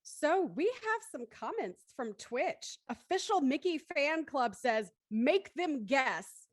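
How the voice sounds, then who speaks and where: A woman's voice: high-pitched, big pitch swings, slow pace, no echo, clean studio sound. A woman hosting a live stream and introducing guests in a chatty presenting style. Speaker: host.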